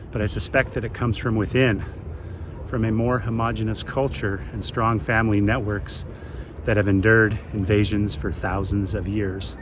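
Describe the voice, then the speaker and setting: Guy almost sixty from the us west coast, lowish voice, calm thoughtful voice-over, faint street noise behind. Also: highs cut off. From west coast of the United States. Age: 50s. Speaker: travel vlogger. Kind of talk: scripted voice-over narration.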